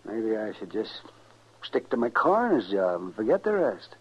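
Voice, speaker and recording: A man's voice: lowish voice, very animated, normal speed. A man playing a sardonic, grumbling small-town doctor and coroner in an old-time radio western. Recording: muffled, slightly noisy old radio recording.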